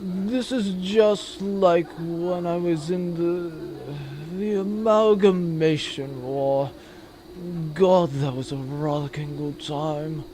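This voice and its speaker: A man performs an elderly, bloodthirsty veteran for a sci-fi comedy audio play. He reminisces slowly and fondly in a somewhat high male voice. The recording has clear background noise. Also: dark tone, low-quality recording. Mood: fondly nostalgic. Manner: rambling, wistful reminiscing. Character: doddering, bloodthirsty old war veteran, nostalgic and callous. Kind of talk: comic sci-fi audio drama.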